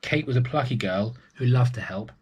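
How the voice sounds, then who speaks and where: Male early years story reader: husky, mid-pitched, expressive, chatting to young listeners. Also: moderate pace, muffled recording. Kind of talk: expressive children's story reading.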